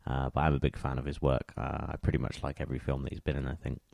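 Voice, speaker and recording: A man's voice: very deep voice, completely flat delivery, quick pace, creaky, a little mellow, dry recording, clean studio sound. A man chatting casually as he hosts a music radio show. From England. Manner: chatty, conversational.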